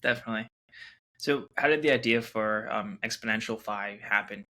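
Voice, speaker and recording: Bright-voiced man interviewer, laid-back. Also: on the low side, moderate pace. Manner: laid-back, uses "like" a lot. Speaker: interview host. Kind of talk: casual interview questions.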